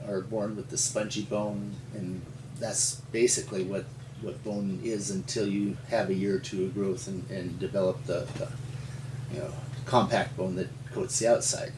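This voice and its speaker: An older man from rural Montana with an American accent, telling his story in an interview in a halting, folksy, explanatory way. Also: fairly low pitch. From rural Montana, United States. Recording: roomy, distant mic with faint hum.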